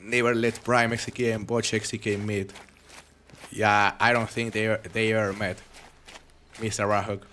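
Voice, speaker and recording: A man's voice: fairly low pitch, moderate pace, a little hiss or hum. A young man with a foreign accent, live-streaming a hunting game and chatting casually with his viewers. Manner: relaxed, chatty, rambling with frequent restarts. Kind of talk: live game stream commentary reading chat.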